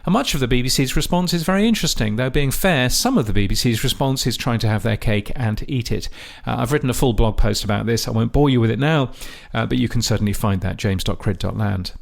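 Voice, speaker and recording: A man hosting a podcast, reading scripted commentary in a measured, dry way with a gravelly voice. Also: medium pitch, lively intonation. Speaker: podcast host. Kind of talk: scripted radio-industry commentary.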